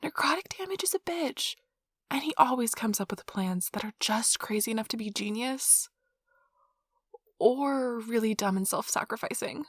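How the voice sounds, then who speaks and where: A woman playing a nerdy, affectionate girlfriend in an ASMR roleplay, rambling softly and casually in a gushing, breathy voice. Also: fairly high pitch, expressive, normal speed, no room sound, studio quality. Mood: playful, animated. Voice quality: soft, breathy, slightly husky. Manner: gushing, casual, giggly, chatty. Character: nerdy affectionate girlfriend, excitedly rambling about tabletop gaming. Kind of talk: soft-spoken ASMR roleplay, intimate rambling. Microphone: close microphone, dry.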